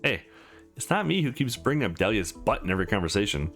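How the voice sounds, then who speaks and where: A man's voice: on the low side, sing-song, quick pace, fairly bright tone, soft background music. Man playing a confident, teasing young guy. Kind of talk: visual novel character read-aloud.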